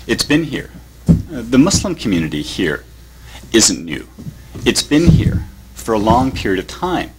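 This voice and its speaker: A man with a Canadian accent giving a measured academic conference talk, with pauses. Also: medium pitch, very animated, noisy background. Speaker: panelist. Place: conference hall.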